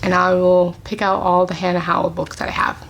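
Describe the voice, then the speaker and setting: A woman chatting casually to camera as a book vlogger at home, with soft laughs and whispered asides. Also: on the low side, little pitch movement, a little fast, gravelly, slight background noise. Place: at home, family in another room.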